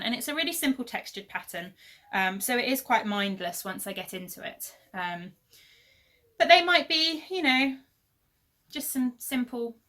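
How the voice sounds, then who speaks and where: A woman's voice: fairly high pitch, sharp tone. A woman in her thirties, hosting a casual knitting podcast from home, chatty and rambling with lots of fillers, her voice a little worn. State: losing her voice. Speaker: podcast host. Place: at home, indoors.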